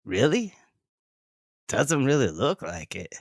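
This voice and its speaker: A man's voice: medium pitch, very animated, slow pace, no echo, studio quality, exaggerated voice. A man playing a tough, blunt, slangy sixteen-year-old half-orc girl in an audio drama. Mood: guarded, musing.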